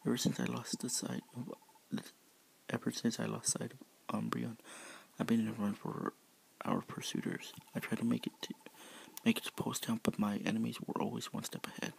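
A man's voice: mid-range voice, lively intonation, moderate pace, gravelly, a little hiss or hum, poor audio. A man whispering the part of a remorseful, anxious female fox creature in a read-aloud story. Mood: recounting. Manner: whispered, gentle and apologetic.

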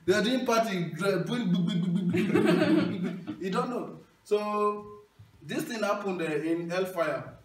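A young Nigerian man with a Nigerian accent performing stand-up, telling stories loudly and breaking into singing and shouting. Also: high voice, a little slow, faint music behind. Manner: loud animated storyteller, sings and shouts punchlines. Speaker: stand-up comedian. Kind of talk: stand-up storytelling. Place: small indoor hall with wood panelling. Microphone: handheld mic, filmed from across the room. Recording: echoey room from far off.

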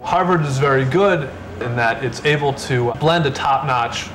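A young male student gives an interview answer in a medium-pitched voice. Ambient noise is clearly audible behind him. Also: moderate pace. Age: young adult. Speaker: engineering student.

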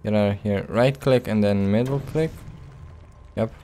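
A man's voice: fairly low pitch, unhurried, mellow, a little hiss or hum. A man giving casual, laid-back gameplay commentary in short phrases as he plays. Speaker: let's play host.

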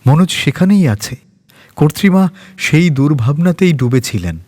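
A man narrating a scripted story with expressive, dramatic phrasing. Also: on the high side, moderate pace. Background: faint background music.

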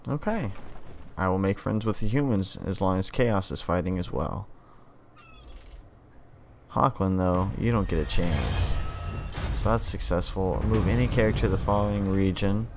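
A man's voice: deep voice, moderate pace, long pauses, music in the background. A man giving casual gameplay commentary as a video host, often in short, clipped commands to his units. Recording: clear background noise under voice.

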